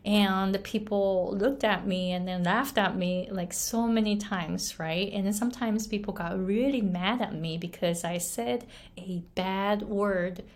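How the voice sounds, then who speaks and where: Unhurried Japanese-accented woman coach. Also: mid-range voice, fairly even intonation. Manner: earnest, chatty, frequent "right?" tags and light laughs. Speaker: English pronunciation coach. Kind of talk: casual motivational talk to camera.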